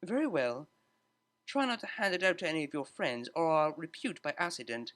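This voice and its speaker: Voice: medium pitch, expressive, brisk, fairly bright tone, lightly acted. A narrator voicing a refined, haughty young female pony cellist with a prim, disdainful manner. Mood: prim, curt.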